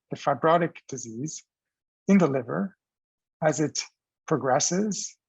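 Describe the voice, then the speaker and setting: A man giving a scientific research presentation as a professor, explaining in a measured, halting way with restarts. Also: on the high side, gravelly, breathy edge, dry recording, low-quality recording.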